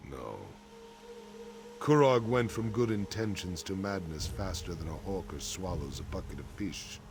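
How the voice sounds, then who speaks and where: Deep, gravelly, brooding orc chief. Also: male voice, animated, a little slow, background noise. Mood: grim, sorrowful. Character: weary, solemn male orc chief, gloomy and honourable. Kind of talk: fantasy game dialogue.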